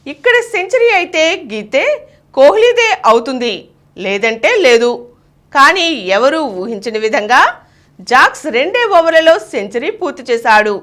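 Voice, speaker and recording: A woman's voice: high voice, big pitch swings, moderate pace, fairly bright tone. A woman reading a scripted news bulletin. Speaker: news reader.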